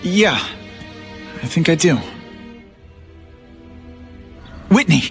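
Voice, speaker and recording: A male actor playing an earnest young superhero in a video game, with a casual, slightly awkward delivery and a lightly husky voice. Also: a little high, lively intonation, a little slow, soft background music. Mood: upbeat.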